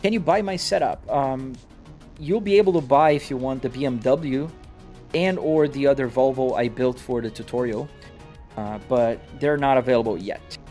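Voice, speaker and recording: A man's voice: medium pitch, moderate pace, warm tone, faint music behind. A man from Brazil with a Brazilian-American accent, streaming live from his home simulator rig and chatting casually with viewers, answering comments on the fly with frequent restarts. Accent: Brazilian Portuguese mixed with American English.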